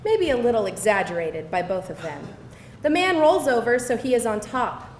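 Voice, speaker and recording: A woman's voice: a little high, big pitch swings, moderate pace, a little mellow. A woman reading scripted stage directions as narrator at a live table read. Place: indoor venue, live reading. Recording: echoey room, faint noise.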